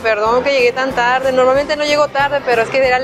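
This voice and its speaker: Giggly high-voiced young woman. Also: fairly even intonation, brisk. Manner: playful, giggly, chatty. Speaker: prankster host. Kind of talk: hidden-camera street prank. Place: busy indoor mall food court. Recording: loud music and crowd noise. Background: loud music and chatter.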